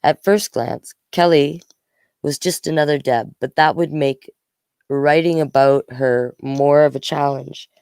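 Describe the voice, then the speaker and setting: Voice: mid-range voice, darkish tone, clear, resonant tone, no echo. A storyteller reading a children's novel aloud in an informal way, acting out the dialogue. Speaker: storyteller, host of a read-aloud series.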